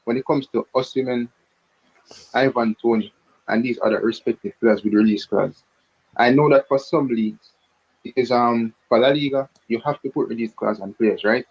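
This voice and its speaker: A man calling in to a sports show, explaining his football opinions deliberately and often checking he is followed. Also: mid-range voice, steady tone, breathy edge. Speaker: caller giving football opinions. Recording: dull lo-fi call audio.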